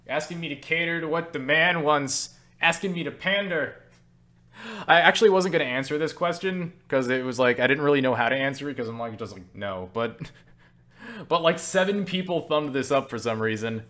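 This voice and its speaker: A man's voice: fairly high pitch, moderate pace, sharp tone. A tired young man casually answering viewer questions on a webcam, joking and self-deprecating as he rambles. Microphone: webcam setup.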